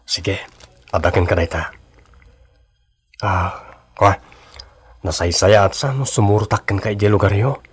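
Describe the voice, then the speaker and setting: Husky, low-voiced young Ilocano suitor. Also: male voice, measured pace, long pauses. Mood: earnest, reassuring. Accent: Filipino, Ilocano. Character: earnest 23-year-old suitor, polite and determined. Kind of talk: Ilocano radio drama acting.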